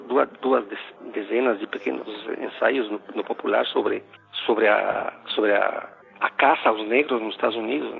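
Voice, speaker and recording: A middle-aged man from Ecuador with a Spanish accent, a philosophy professor telling a story in a casual, halting way with many restarts and fillers. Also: mid-range voice, creaky. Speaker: philosophy professor, podcast guest. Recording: phone-like narrow sound.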